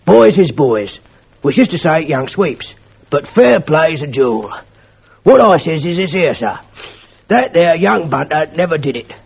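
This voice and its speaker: Old male school porter, deferential but stubborn, rough dialect; played by a man. Raspy, fairly high. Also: big pitch swings, unhurried, full character voice. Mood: aggrieved. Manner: ungrammatical dialect, deferential, keeps saying "sir". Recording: lo-fi old archive recording.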